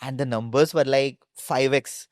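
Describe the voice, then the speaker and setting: A man's voice: mid-range voice, steady tone, unhurried, no room sound, studio quality. A man with an Indian accent, a co-founder telling stories casually in an interview and peppering his speech with "like". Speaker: startup co-founder being interviewed.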